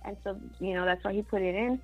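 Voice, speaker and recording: A woman's voice: mid-range voice, fairly even intonation, fast talker, muffled recording, faint music behind. A woman from Mexico, a singer-songwriter, talking casually in an interview, with many fillers and Spanish words mixed in.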